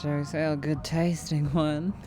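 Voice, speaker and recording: A woman's voice: low-pitched, flat delivery, over music. A woman voicing a teasing, menacing, sweet-talking vampire trucker with a Southern US accent, in an intimate ASMR roleplay. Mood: warm, sincere. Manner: slow, teasing drawl with pet names and chuckles.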